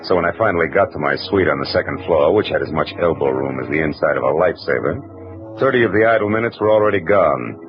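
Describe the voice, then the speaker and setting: An actor playing a hard-boiled male private eye who narrates in a dry, wry first-person style, in 1940s radio drama acting. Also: low-pitched. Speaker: narrator and lead. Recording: muffled 1940s radio recording with hiss.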